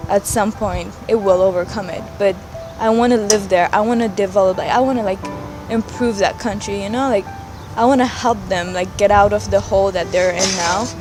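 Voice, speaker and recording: A young woman in her late teens answers interview questions with an earnest, casual delivery. She fills her sentences with "like" and restarts them often, and her pitch sits in an ordinary middle range. Music plays clearly behind her voice. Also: fairly even intonation, normal speed. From Afghanistan. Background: background music.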